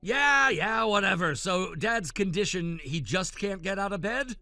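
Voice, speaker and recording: A man's voice: sits high, expressive, unhurried, sharp tone, dry recording, studio quality, faint music behind, exaggerated voice. A man playing an adult son who answers his sister in a curt, dismissive way.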